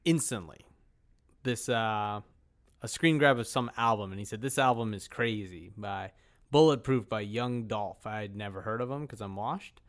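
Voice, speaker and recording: A man's voice: fairly low pitch, moderate pace. A man co-hosting a podcast in casual, conversational banter. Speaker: podcast co-host.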